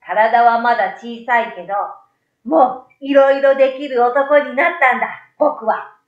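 Woman playing a high-voiced, excitable little boy. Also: moderate pace, echoey room, muffled recording, lightly acted. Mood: sulky pride. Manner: childlike, exclamatory, questioning. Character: young second-grade boy, self-assured yet easily startled.

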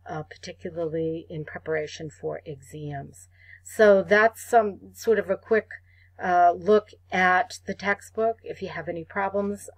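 A woman instructor talking students through an online course site, measured and full of ums and uhs. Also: lowish voice, no echo, rough recording. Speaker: college instructor. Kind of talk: screen-recorded course site walkthrough.

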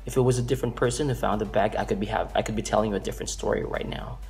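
Filipino man, 30s, vlogger; low, gravelly delivery, earnest and rambling, office room, close camera mic. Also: steady tone, rapid-fire, a little mellow, soft background music. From Philippines. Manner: earnest, rambling with restarts and fillers. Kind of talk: casual storytelling to camera.

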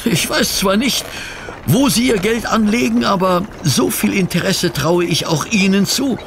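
An actor playing a fussy, incredulous gentleman sidekick in a radio play, sputtering and exclaiming indignant questions. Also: high-pitched, measured pace, creaky, a little hiss or hum, full character voice. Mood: sceptical. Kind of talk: German radio play acting.

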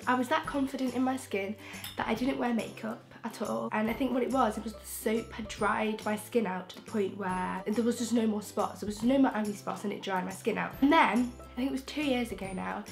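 A young woman from England, croaky from a throat infection, chatting frankly and rambling with restarts as a beauty vlogger in her bedroom. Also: on the high side. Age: young adult. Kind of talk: casual chatty vlog. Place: bedroom, indoors. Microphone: camera in front, close framing.

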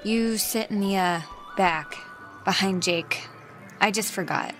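A laid-back but apologetic American teenage girl. She speaks in a mid-pitched voice, haltingly, correcting herself as she goes. Game music plays clearly behind her natural, conversational delivery. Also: a bit bright. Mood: casual, awkward. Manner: hesitant, trailing off, self-correcting. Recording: game soundtrack music under the voice.

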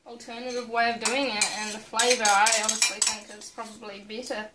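A young woman chats through a home cooking demo with playful, self-mocking asides. Her voice sits a little high. She is filmed up close in a small kitchen at night, and pots, dishes and cutlery clatter loudly behind her. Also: level tone, brisk. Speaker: cooking vlog host. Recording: noisy home kitchen recording. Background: loud clatter of pots, cutlery and dishes.

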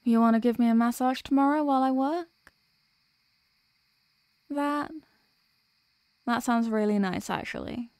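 A woman playing a sweet, sleepy workaholic girlfriend in an ASMR audio roleplay, speaking softly and hesitantly with affection. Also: a little high, measured pace, pauses often, no room sound. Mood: sleepy, giving in.

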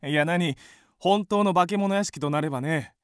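A man's voice: on the high side, moderate pace, clear, resonant tone, dry recording, studio quality, natural voice. An actor playing an easygoing, flippant husband and father in a radio drama. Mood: joking, unconcerned. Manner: flippant, casual.

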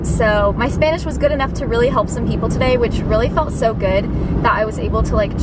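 A young American woman chatting casually to camera in an upbeat, informal way while driving, as a student vlogger. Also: fairly high pitch. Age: young adult. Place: inside a car, driving. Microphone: mounted camera at arm's length. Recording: noisy car, mic at a distance. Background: car and road noise.

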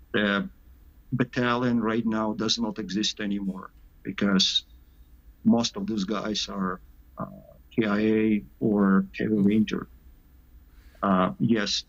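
A man from Canada answering interview questions over a video call, halting, with many pauses and filler sounds. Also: lowish voice. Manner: halting, many "uh" pauses, slight non-native grammar. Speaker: sniper interviewed as guest. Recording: dull lo-fi call audio.

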